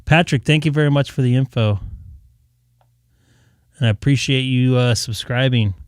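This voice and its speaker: A man's voice: mid-range voice, normal speed, clean tone, no room sound, clean studio sound. A man hosting a ham radio live stream, talking casually and a bit tired, with lots of fillers. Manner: tired, loose, chatty with many fillers.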